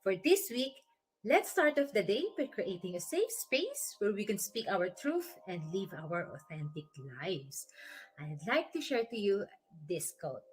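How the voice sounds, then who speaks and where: A woman with a Filipino accent hosting a weekly inspirational talk segment, explaining ideas gently like a teacher with soft laughs. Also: mid-range voice, sing-song, measured pace, rough recording. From Philippines. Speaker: host.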